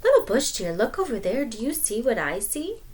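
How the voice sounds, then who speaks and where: A woman's voice: a little high, big pitch swings, moderate pace, a little mellow, breathy edge. A woman voicing a greedy, impatient male trickster spider in a storybook, speaking insistently and emphatically. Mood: sly, coaxing.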